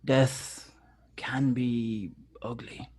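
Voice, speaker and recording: A man playing a menacing killer who taunts by phone in a table read, slow and deliberate with a raspy, whispery voice. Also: medium pitch, little pitch movement, darkish tone. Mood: quiet menace.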